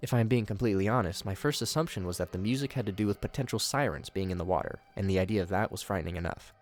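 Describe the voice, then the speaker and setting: A man's voice: on the low side, racing. A man hosting a video, reading scripted narration mixed with his own commentary. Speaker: video host reviewing horror shorts.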